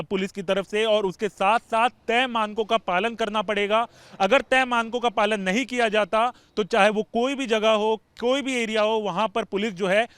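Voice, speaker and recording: A man's voice: high-pitched, fairly bright tone, clear, resonant tone, no echo. A man reporting news in an explanatory, repetitive way.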